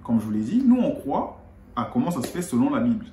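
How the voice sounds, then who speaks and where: A man from Congo explains scripture in a relaxed, conversational tone, his voice sitting at an average pitch and lifting on key points. The room around him is echoey. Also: fast, background noise. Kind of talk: explaining scripture in casual chat.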